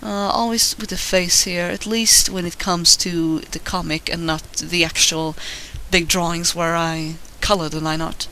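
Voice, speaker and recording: A man's voice: fairly high pitch, fairly even intonation, a little slow, hardly any pauses, pushed and bright, a little hiss or hum, rough recording. A man with a Swedish accent talks casually while he draws, rambling, self-deprecating and swearing now and then. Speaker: digital artist narrating his drawing. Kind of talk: casual commentary over a drawing. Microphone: voice-over recorded while drawing.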